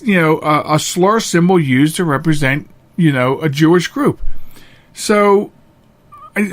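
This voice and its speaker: A middle-aged man hosting a podcast, giving casual opinionated commentary in a halting, emphatic way. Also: a little high. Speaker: podcast host.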